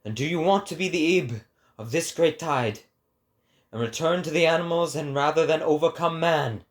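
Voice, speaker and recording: A man's voice: on the high side, moderate pace, natural voice. A man voicing an impassioned male prophet who declaims like an orator in a dramatic reading.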